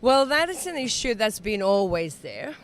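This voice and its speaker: A woman's voice: medium pitch, very animated, moderate pace, a little hiss or hum. Enthusiastic Finnish woman in her sixties. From Finland. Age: 60s. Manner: enthusiastic, earnest, slightly non-native phrasing. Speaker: interview guest. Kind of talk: conversational interview. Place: event summit venue.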